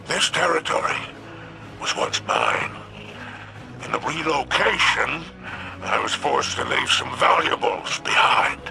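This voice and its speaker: A sly alien crime lord from a video game. The voice is thick with gravel and swoops theatrically through florid, menacing phrases. Loud music plays underneath. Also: male voice, medium pitch, wildly swooping pitch, full character voice. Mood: smooth, scheming. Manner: theatrical, florid, drawn-out phrasing with sinister chuckles. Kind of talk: video game character acting.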